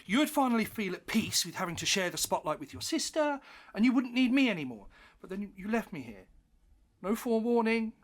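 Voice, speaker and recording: An actor playing a cheeky, slightly wounded grown-up imaginary friend, wry and playful, in a comedy audio drama. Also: male voice, high voice, big pitch swings, brisk. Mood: wounded, reproachful.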